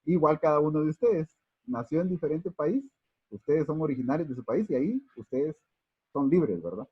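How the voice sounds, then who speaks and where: A man's voice: on the high side, animated, normal speed, breathy edge, dry recording, muffled recording. A man from Guatemala, teaching an unscripted Bible study class with many fillers and restarts and calling his listeners brothers.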